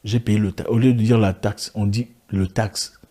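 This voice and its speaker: Man ranting into his camera, french isnt his first language, husky, lots of questions and restarts. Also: lowish voice, steady tone, moderate pace, mellow. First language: not French; an African language. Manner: emphatic, rhetorical questions, frequent restarts. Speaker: online video commentator. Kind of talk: impassioned monologue to camera.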